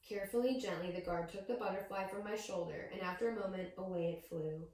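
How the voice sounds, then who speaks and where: A woman reading a picture book aloud to young children as a teacher, with expressive storytelling and questions to her listeners. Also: medium pitch, fast. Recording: very echoey room, distant microphone.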